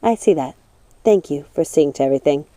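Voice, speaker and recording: A woman voicing a gentle young witch who speaks politely and earnestly in a storybook reading. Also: deep voice, animated, a little fast, no room sound, voice pushed down.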